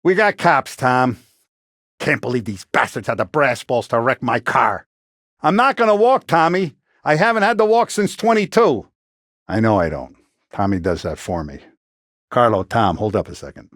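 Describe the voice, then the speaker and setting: An actor playing a tough, middle-aged Italian-American mafia boss in a video game, blunt and streetwise, with a commanding, vengeful manner. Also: male voice, mid-range voice, animated, normal speed, a bit bright, dry recording, studio quality, natural voice. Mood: irritated, then guarded. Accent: American, Italian-American gangster. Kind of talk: video game voice acting, 1930s gangster talk.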